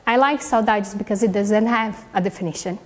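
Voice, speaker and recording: A woman's voice: mid-range voice, brisk. A young woman from Brazil, a native Portuguese speaker with a Brazilian accent, giving an earnest, heartfelt prepared speech with small grammar slips. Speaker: PhD student giving a speech. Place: hall with a live audience. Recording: reverberant hall.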